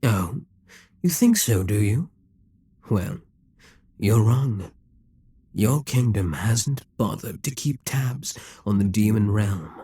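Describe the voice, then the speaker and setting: A man's voice: on the low side, expressive, slow pace, pauses often, warm tone. A man voicing a possessive, scheming male demon king in an audio roleplay, speaking in a mocking, menacing way. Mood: gloating.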